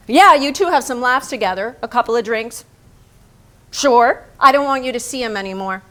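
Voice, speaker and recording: A woman performing as a bitter, possessive painter's wife, with a fairly high voice. She moves between storytelling and dialogue in a staged play reading, sardonic and exasperated with her husband. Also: fairly bright tone. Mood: tense, sardonic. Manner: sardonic, biting. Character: Lee, embittered painter's wife, sharp-tongued, possessive, exasperated. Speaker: stage actress. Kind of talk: theatrical staged reading, narration mixed with dialogue.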